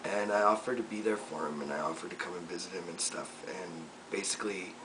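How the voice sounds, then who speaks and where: A young man speaks in a very deep, low voice, reflective and soft, with frequent pauses and fillers as he records a personal video update. He sits a little away from the microphone. A clear layer of room noise runs underneath. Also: fairly even intonation. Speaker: vlogger. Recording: distant mic with noticeable room noise.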